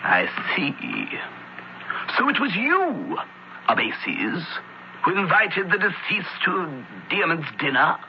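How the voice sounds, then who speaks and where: High-pitched, swooping Roman senator. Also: male voice, sing-song, slow pace, full character voice. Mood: stern questioning. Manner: probing, theatrical. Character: commanding Roman senator questioning witnesses. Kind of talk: radio drama acting. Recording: dull radio recording, faint hiss.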